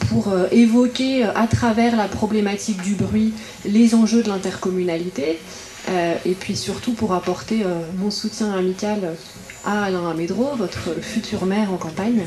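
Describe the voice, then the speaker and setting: Echoey, mellow-voiced woman giving a halting speech. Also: mid-range voice. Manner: hesitant, halting phrasing with many filled pauses. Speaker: regional councillor and guest speaker. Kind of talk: political speech at a public meeting. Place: indoor public meeting room. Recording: roomy echo, audible background noise.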